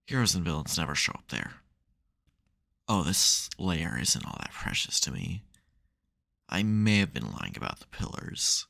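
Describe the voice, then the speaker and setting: A man playing a supervillain nemesis who is secretly soft, teasing dryly and getting flustered in an intimate audio roleplay. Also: low-pitched, very animated, unhurried, vocal fry, pauses often, exaggerated voice. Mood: reassuring, sheepish. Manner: dry teasing, hesitant, mock-grudging.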